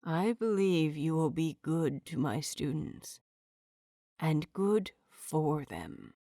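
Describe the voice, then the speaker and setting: A woman voicing a gentle, frail old male teacher who speaks quietly and lower than her own voice. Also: expressive, slow pace, no echo, studio quality, heavily acted. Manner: soft and quiet.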